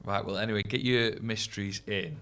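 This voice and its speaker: A man's voice: fairly low pitch, steady tone, a little fast, fairly bright tone, smooth. A man co-hosting a podcast, blunt and sarcastic, often cutting in during casual banter. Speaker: podcast co-host.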